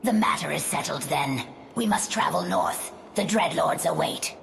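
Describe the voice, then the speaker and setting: A woman voicing a cool, practical undead advisor in a fantasy game cutscene, formal and firm, with a creaky voice. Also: sits low, expressive, normal speed, a bit bright, slight background noise, full character voice. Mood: cool insistence.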